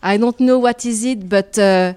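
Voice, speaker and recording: A woman's voice: medium pitch, normal speed, darkish tone, clear, resonant tone. A woman with a French accent, a native French speaker, giving personal, prayer-like encouragement in church and searching for words in English.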